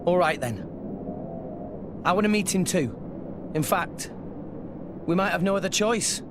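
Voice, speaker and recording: A man's voice: high-pitched, animated, measured pace, background noise. A man playing an earnest, eager teenage boy hero in a video game cutscene. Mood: curious, resolute. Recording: music over the voice.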